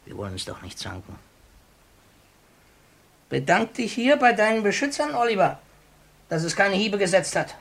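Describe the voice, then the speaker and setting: A man's voice: high-pitched, big pitch swings, normal speed, vocal fry, pauses often, a bit bright, full character voice. An actor playing a wheedling, domineering old gang boss in a radio drama, repeating his words slyly. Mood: barking, domineering. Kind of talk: 1950s German radio drama acting. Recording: 1950s radio recording with faint hiss.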